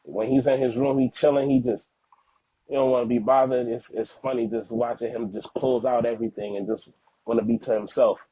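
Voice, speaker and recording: A man's voice: medium pitch, level tone, fairly quick, breathy edge. A young man of Haitian background whose native language is Haitian Creole, talking earnestly and slangily as a podcast guest and father in a casual interview. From Haitian background, living in the United States. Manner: earnest, slangy, repeats words for emphasis. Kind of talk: casual, heartfelt interview talk. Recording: phone-like narrow-band audio, dry.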